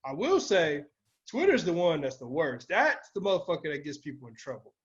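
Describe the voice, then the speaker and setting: A man with a fairly high voice chats as a podcast co-host, recorded slightly dull and muffled. His talk is blunt and slangy, full of casual swearing. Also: big pitch swings, a little fast. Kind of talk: casual chat, podcast discussion.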